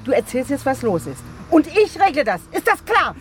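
An actress playing a tough, insistent detective agency boss in a radio crime drama. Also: female voice, sits high, very animated, moderate pace, a little hiss or hum. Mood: agitated, demanding. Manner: commanding, insistent.

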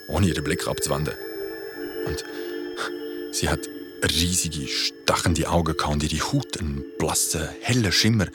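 A young man with a Swiss German accent playing a holiday worker who narrates an eerie tale colloquially in an audio drama. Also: very deep voice. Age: young adult.

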